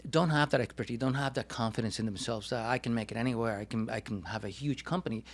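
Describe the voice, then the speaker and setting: A middle-aged man from Puerto Rico, a guest doctor, speaking in a measured, casual interview manner. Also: medium pitch. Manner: measured, with frequent fillers and restarts.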